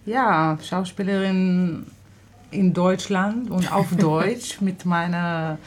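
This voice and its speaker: A woman from Israel with an Israeli accent, an actress and theater director, speaking German slowly, searching for words and laughing often in a radio studio interview. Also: lowish voice.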